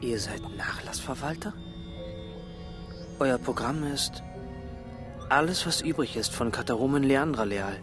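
A man playing an awed, probing explorer in a radio play, with a halting, questioning, intense delivery. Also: medium pitch, expressive, over music, natural voice. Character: male explorer, awed and probing, piecing mysteries together. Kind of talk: radio play acting, dramatic dialogue.

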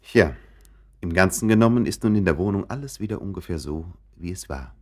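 Man, radio play narrator, scripted narration, deep voice. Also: animated, fairly quick, a little mellow.

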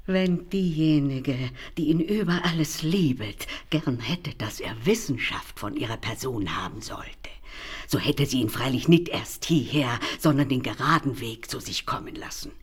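An actress playing a sly old go-between in a radio play, coaxing and veiling threats in ceremonious, old-fashioned speech. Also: female voice, rumbling low, unhurried, full character voice. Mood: soothing, sly. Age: older. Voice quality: husky, breathy, dropping into whispers. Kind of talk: radio play acting in archaic German.

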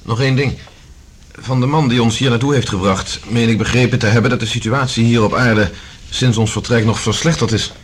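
An actor playing an earnest, methodical scientist-explorer in a Dutch science fiction radio drama, speaking in a measured, matter-of-fact way. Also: male voice, mid-range voice, steady tone, a little hiss or hum, lightly acted.

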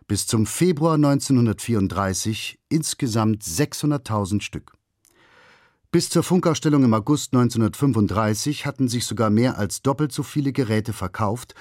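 Male documentary narrator, medium pitch, dry close sound. Measured, factual reading of a script. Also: no echo. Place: studio.

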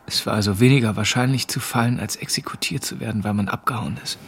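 An actor playing a weary young German soldier in a radio drama, speaking in a subdued, terse way. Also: male voice, lowish voice, quick pace, creaky, slightly rough. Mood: weary, bitter. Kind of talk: radio drama acting, dialogue and narrated thoughts.

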